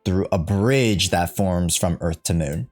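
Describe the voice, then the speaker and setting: Low-voiced, unhurried man chatting on stream. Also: darkish tone, soft background music. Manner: rambling, joking, slangy, reads game dialogue aloud. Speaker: livestreamer. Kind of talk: casual game-stream reaction chat.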